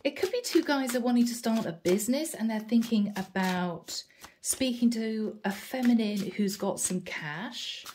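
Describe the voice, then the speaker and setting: A woman with a head cold giving a tarot card reading, musing softly and thinking aloud as she goes. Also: fairly high pitch, unhurried, a little hiss or hum, poor audio. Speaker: tarot reader.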